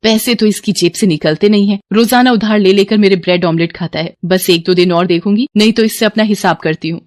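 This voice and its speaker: A woman voicing a poor, hardworking street food seller and anxious mother in an animated moral story, earnest and worried. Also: mid-range voice, a little fast, no room sound, clean studio sound, lightly acted. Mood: grumbling.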